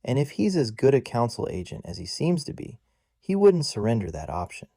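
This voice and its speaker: A man's voice: mid-range voice, big pitch swings, fairly quick, creaky, no room sound, studio quality. A man voicing a dour, gloomy companion who speaks darkly and tersely. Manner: dark, terse.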